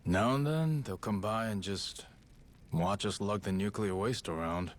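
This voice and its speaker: A man playing a frank, weary middle-aged yakuza boss in a video game, talking in gruff, matter-of-fact slang. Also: fairly low pitch, measured pace, natural voice. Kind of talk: video game cutscene acting. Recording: loud music behind the voice.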